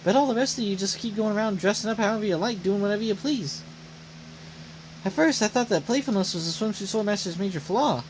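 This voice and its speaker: A woman's voice: mid-range voice, fast, slight background noise, natural voice, rough recording. A woman voicing an earnest, brash young female sword fighter in an anime game, eager and blunt. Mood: earnest, determined. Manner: eager, blunt, plain-spoken. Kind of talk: voiced reading of anime game dialogue. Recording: loud game music behind voice.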